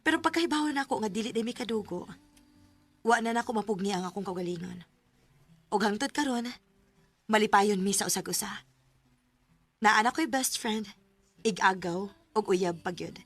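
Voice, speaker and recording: A woman's voice: medium pitch, animated, moderate pace, pauses often, close to an everyday voice. An actress playing a lovestruck young woman in a radio drama, emotive and earnest, with a Cebuano Filipino accent. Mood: reflective, happy. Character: lovestruck young woman pining for her supposed cousin. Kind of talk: Cebuano radio drama acting with first-person narration. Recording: radio drama with music bed.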